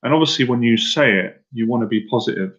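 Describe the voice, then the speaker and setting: A man's voice: mid-range voice, quick pace, fairly bright tone, lo-fi sound. Casual live-stream dating coach, a man. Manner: casual and direct, repeats himself, asks viewers to answer in the chat.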